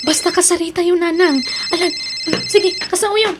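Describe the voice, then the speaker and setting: A woman's voice: very high-pitched, fairly even intonation, normal speed, over music. A woman playing a scheming, resentful young adult daughter in a radio drama, protesting in a whiny way. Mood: pleading, evasive. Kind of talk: radio drama acting in Ilocano.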